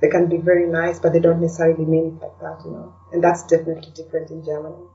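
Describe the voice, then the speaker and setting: A woman from Kenya speaking as a podcast guest in a casual, reflective way, often pausing to search for words. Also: low voice, completely flat delivery, dark tone. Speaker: interview guest, blogger and podcaster. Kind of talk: casual conversational interview. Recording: distant, dull lo-fi call sound.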